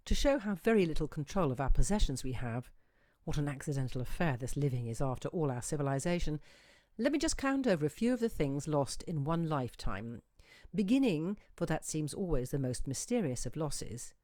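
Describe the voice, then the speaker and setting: A woman reading a short story aloud as an expressive, musing narrator with dramatic emphasis. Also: sits low, fairly quick, dry recording, studio quality. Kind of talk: expressive literary audiobook reading.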